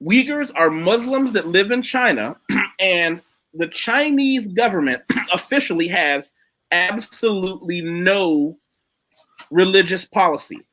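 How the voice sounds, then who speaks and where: High-voiced man chatting bluntly. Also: animated, unhurried, sharp tone, slight background noise. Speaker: live chat host. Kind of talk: casual opinionated chat.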